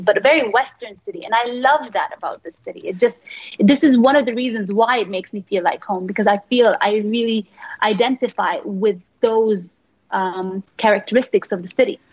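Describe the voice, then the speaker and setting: A woman's voice: on the high side, breathy edge, lo-fi sound. A woman born in England, a radio guest, chatting casually and telling stories with many fillers and restarts.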